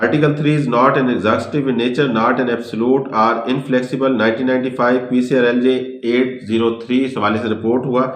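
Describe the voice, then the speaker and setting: A man with a Pakistani accent reading out a law lecture in a deliberate, formal manner, switching between English and Urdu. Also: mid-range voice, fairly bright tone. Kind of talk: scripted legal lecture, reading out points. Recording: echoey room.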